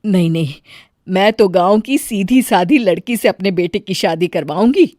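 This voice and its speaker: A woman's voice: medium pitch, animated, moderate pace, mellow, no room sound, studio quality, close to an everyday voice. A woman playing a middle-aged mother-in-law in a moral story, doting yet easily offended, with an emphatic, exclaiming manner. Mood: worried. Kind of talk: dramatised Hindi moral story.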